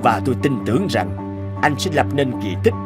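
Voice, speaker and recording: A man playing a kindly older company chairman in a cartoon, measured and encouraging. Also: medium pitch, big pitch swings, natural voice. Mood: admiring. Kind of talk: Vietnamese cartoon dub acting. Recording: music under the dialogue.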